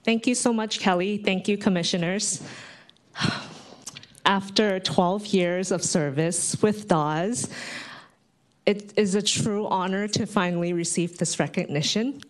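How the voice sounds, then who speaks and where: A woman's voice: mid-range voice, fairly even intonation, slow. Grateful woman giving a heartfelt acceptance speech. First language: Cantonese. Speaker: award recipient, social worker. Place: commission meeting room.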